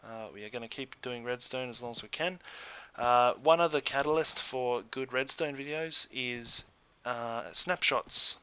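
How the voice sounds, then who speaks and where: A man's voice: mid-range voice, measured pace, few pauses, pushed and bright, smooth. A man hosting a gaming video with casual commentary, hesitant and thinking aloud as he goes.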